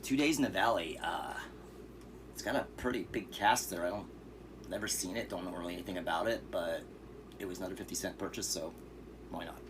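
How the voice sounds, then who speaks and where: A man with a very low, hoarse voice runs through a pile of cheap movie finds, easygoing and rambling, with fillers along the way. There is clear steady noise behind him. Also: fairly quick. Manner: relaxed, rambling, with fillers and asides. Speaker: vlogger showing thrift finds. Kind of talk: casual vlog.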